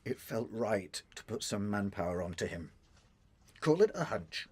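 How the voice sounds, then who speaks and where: An actor playing a stubborn, driven intelligence handler with a British accent, terse and defensive, in a radio drama. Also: male voice, on the low side, big pitch swings, measured pace, darkish tone, husky, exaggerated voice.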